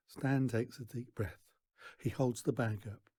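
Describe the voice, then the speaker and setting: A man reading a children's story aloud in an expressive narrating style. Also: mid-range voice, unhurried, breathy edge, no room sound. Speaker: storybook reader.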